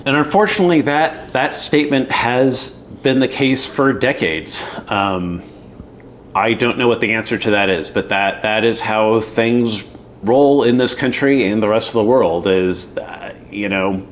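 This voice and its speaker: A man commenting casually and with clear opinions, speaking in a conversational, emphatic way. His pitch sits in the middle for a male voice. There is faint noise behind him. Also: unhurried. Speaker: commentator reacting to a news segment.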